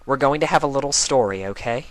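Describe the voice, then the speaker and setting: A performer of unclear sex voicing a grown-up mare, gently firm, telling a cautionary tale in a cartoon pony story. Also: mid-range voice, animated, quick pace, dry recording, pitched above the performer's own voice, natural voice. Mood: gentle, teacherly.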